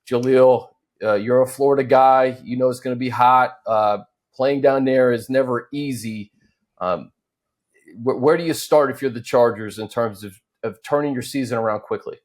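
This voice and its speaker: A man hosting a sports talk show conversationally, setting up questions in a measured, slightly halting way. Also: medium pitch, fairly even intonation.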